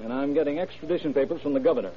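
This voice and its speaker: A man acts a no-nonsense police detective from an old radio crime play. His voice sits a little high, and he speaks in blunt, official phrases. The sound is dull and vintage. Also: rapid-fire, natural voice. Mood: pressing, accusing. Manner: blunt, clipped, businesslike. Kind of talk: 1930s-40s radio crime drama acting. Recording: muffled old radio broadcast.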